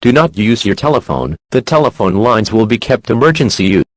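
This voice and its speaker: A man playing an emergency alert announcer, reading a formal scripted warning in a stern, measured, official manner. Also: fairly low pitch, sing-song, dry recording. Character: solemn male emergency alert broadcast announcer.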